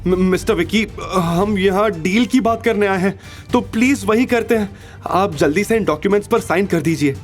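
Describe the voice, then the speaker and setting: A man's voice: sits high, moderate pace, pitched above the performer's own voice, natural voice. A man voicing a self-respecting woman of twenty-eight in an audio drama, stern yet anxious. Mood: hesitant, nervous. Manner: stern, hesitant under pressure. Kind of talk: Hindi audio drama dialogue. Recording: faint music behind.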